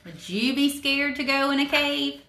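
Woman, high bright voice, children's story-time reader, unhurried teacherly delivery, roomy indoor sound. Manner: slow, careful, teacherly explaining with questions to listeners. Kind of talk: reading a picture book aloud to children, with asides. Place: indoors in a home room with wood cabinets and brick wall.

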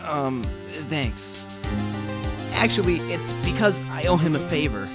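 An actor playing a nervous, earnest young defense attorney in a fan-dubbed courtroom game, with a hesitant manner. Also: male voice, fairly high pitch, animated, a little slow, loud music behind the voice. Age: young adult. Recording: echoey reverberant room.

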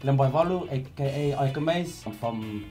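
A man from Fiji in a casual panel chat, laid-back with loud joking bursts. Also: mid-range voice, animated, normal speed, soft background music. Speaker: panel guest.

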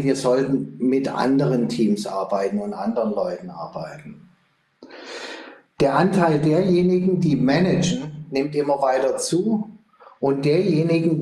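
A man in his sixties giving a measured, reflective keynote as an architect over a video call. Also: mid-range voice, dark tone. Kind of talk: online keynote talk. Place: indoor room, video call. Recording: echoey room on video call.

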